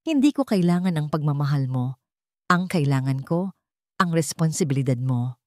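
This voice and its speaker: A woman voicing a dignified, weary young mother-to-be in a romance story, speaking calmly but with emphasis. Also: sits low, moderate pace, no echo, studio quality. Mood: calm, firm.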